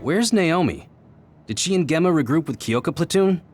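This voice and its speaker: Male actor as video game squad captain, calm, measured, authoritative, music underneath. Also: fairly high pitch, natural voice. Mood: businesslike. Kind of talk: dubbed anime-style game acting.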